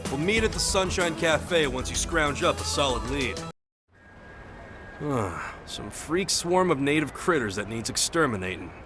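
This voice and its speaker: An actor with an American accent playing a cocky, slangy, grumbling soldier in a video game cutscene. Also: male voice, on the high side, lively intonation, measured pace, over music. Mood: disgusted, teasing. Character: cocky, gruff young male soldier, slangy thrill-seeker.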